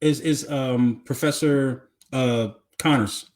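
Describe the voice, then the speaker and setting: Casual, halting man chatting on a podcast. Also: medium pitch, fairly even intonation, a little mellow. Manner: halting, repetitive, peppered with "you know what I'm saying". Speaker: podcast co-host. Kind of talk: casual chat about movies.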